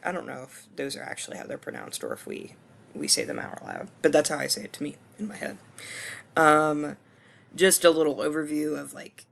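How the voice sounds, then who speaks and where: Young guy in his twenties, super gravelly fry, a bit hoarse, chatty and hesitant, lots of ums. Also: a little high, a bit bright. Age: 20s. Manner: casual, hesitant, many ums and restarts. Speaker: student. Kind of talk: screencast course reflection.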